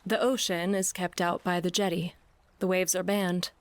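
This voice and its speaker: An actress playing a brooding, sardonic woman aboard a ship, speaking in a dry, deliberate, musing way in an audio play. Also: fairly low pitch, flat delivery, dry recording, natural voice. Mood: wry, quiet. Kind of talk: theatrical audio play acting.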